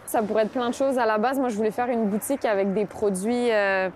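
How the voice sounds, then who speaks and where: A woman's voice: fairly high pitch, fairly even intonation, a little mellow, a little hiss or hum. Chatty woman from France. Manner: chatty, thinks aloud with many pauses. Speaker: interviewee. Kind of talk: casual conversation.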